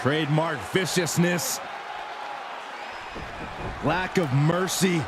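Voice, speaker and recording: A man's voice: fairly high pitch, takes it slowly, very noisy. A man commentating on wrestling in punchy, dramatic phrases. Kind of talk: sports commentary. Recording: loud music and crowd behind. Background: loud arena crowd and music.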